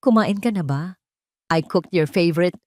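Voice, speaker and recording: A woman's voice: lowish voice, normal speed, a little mellow, no room sound, clean studio sound, close to an everyday voice. Woman voicing a hurt, devoted Filipino wife, pleading. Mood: gentle. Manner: tender, pleading, mixes Tagalog with English. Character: hurt, devoted wife confronting her distant husband.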